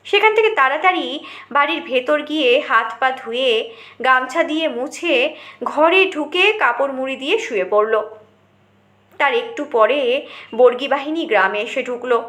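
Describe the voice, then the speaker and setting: High-pitched, slow-paced woman storyteller. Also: a bit bright, echoey room. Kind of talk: dramatic scripted narration of a short story.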